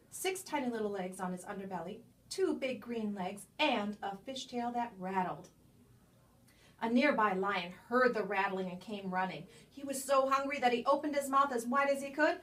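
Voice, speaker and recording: Recorded a little way from the microphone, a woman with a mid-pitched voice reads a children's picture book aloud. She tells it expressively, leaning on key words and pausing for drama. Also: a bit bright. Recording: distant microphone.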